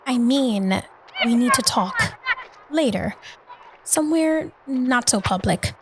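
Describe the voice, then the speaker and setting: A woman's voice: high-pitched, sing-song, slow pace, full character voice. A young woman playing a weary, resentful long-distance girlfriend in an audio drama phone call, curt and exasperated. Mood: weary, serious. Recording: noisy phone-call style recording.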